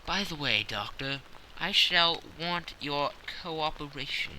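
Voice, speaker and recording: A man's voice: a little high, lively intonation, slow pace, bright, forceful tone, a little hiss or hum, exaggerated voice, rough recording. A man voicing a keen-minded, self-assured male detective in an audiobook, giving precise and authoritative instructions. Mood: commanding, precise. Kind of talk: audiobook character dialogue.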